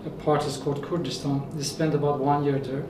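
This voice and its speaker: A man from Iran whose first language is Azerbaijani Turkish, a professor answering interview questions in a measured way with frequent fillers. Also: a little high, flat delivery. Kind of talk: conversational interview. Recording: echoey room, mic at a distance, faint noise.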